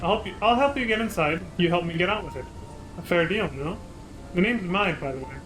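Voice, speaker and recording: A man playing a slick, persuasive treasure hunter in a fantasy game, leaning into a half-hearted Italian mobster accent. He haggles with easy charm, and his voice sits fairly high. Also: animated, fairly quick, music in the background, rough recording. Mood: scheming. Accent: attempted Italian mobster. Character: smooth-talking male treasure hunter, wheeling and dealing.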